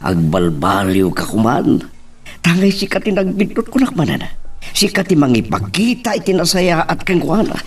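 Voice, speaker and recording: A man's voice: on the high side, huge pitch swings, normal speed, slightly rough, heavily acted. A man playing a stern middle-aged father admonishing his grown children in a radio-style drama. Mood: scolding. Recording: background noise behind the voice.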